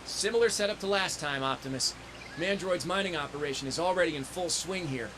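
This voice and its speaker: An actor playing a plucky young robot soldier in a video game, with a casual, wry and dutiful manner. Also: male voice, a little high, a little fast, noisy background, close to an everyday voice. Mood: determined. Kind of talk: scripted video game cutscene acting.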